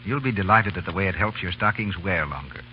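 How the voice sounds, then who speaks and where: A man's voice: deep voice, fast talker. A man reading a scripted commercial as a polished, persuasive announcer in old-time radio style. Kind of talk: scripted 1930s-40s radio advertising. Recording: muffled old radio recording, faint hiss.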